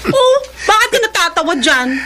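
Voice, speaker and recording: Super high voice filipina big sister, proud n feisty, swingy radio drama delivery, bit of noise. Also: female voice, sing-song, normal speed, sharp tone, exaggerated voice. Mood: indignant. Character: feisty hardworking online seller, bossy elder sister, proud.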